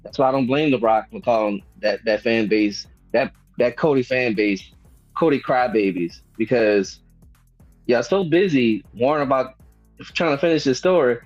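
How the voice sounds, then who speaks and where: A man's voice: mid-range voice, normal speed, highs cut off, soft background music. A man joining a wrestling podcast remotely, venting with scorn and curses. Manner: scornful, swears. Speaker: podcast guest.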